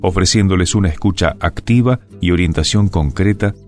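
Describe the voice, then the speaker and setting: A man reading a scripted public service radio announcement, with soft guitar music behind him. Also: low-pitched, fairly quick. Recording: music bed under the voice.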